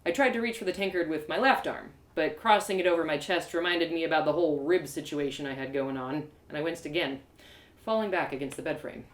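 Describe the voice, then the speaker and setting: A woman narrating a first-person story live, in an expressive, theatrical storytelling manner. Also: low voice, brisk, reverberant. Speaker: storyteller, audio drama creator.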